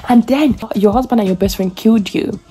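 A woman's voice: on the high side, brisk, mellow, faint music behind. A young woman talking to her phone camera from bed in a bedroom, chatty and confiding as she eagerly recommends something. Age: young adult. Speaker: vlogger. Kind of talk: casual vlog chat to camera.

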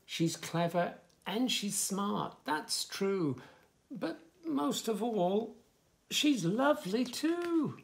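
A man in his fifties reading a picture book aloud to young children, with expressive, sing-song delivery and soft asides. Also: high voice, extremely slow, creaky. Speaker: children's story reader, host. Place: small furnished room indoors, bookshelves.

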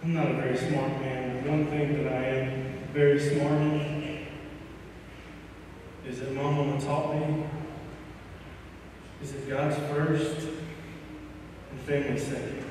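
A man in his thirties giving a eulogy at a church lectern, reading a memorial poem and then speaking about his mother, halting and emotional, trailing off at the ends of lines. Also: mid-range voice, little pitch movement, background noise. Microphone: lectern microphone, recorded from a distant camera. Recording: echoey church hall from far off.

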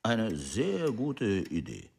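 An actor playing a genial, agreeable American husband in a German radio drama, husky and unhurried. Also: male voice, on the low side, wildly swooping pitch, a little mellow, exaggerated voice. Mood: reminiscing.

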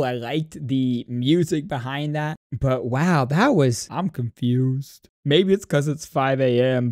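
A young man giving casual, animated, rambling reaction commentary as a streamer, close on the microphone. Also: medium pitch, no room sound. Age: young adult. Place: small room at home, indoors. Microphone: close boom-arm microphone.

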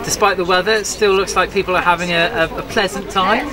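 A man's voice: high voice, fairly even intonation, fast, over a noisy crowd. A man asking brief, friendly interview questions.

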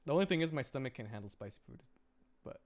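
A man's voice: mid-range voice, animated, fast talker, a little mellow. A young man streaming a video game, chatting casually and rambling, often repeating words as he thinks aloud.

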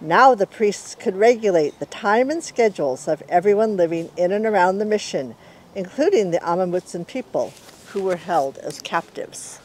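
A woman's voice: mid-range voice, expressive, moderate pace, darkish tone, a little hiss or hum. American woman in her sixties reading aloud. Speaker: author reading her picture book aloud. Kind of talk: storybook read-aloud. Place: outdoor walled courtyard in daylight.

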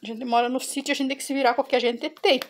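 A middle-aged woman talks to her viewers in a bright, high voice. She walks them through a simple recipe at home on her farm and keeps turning to them with "gente". A faint hiss of noise sits under her voice. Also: fairly even intonation, racing. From Brazil. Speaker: cooking vlogger. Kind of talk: casual cooking demonstration.

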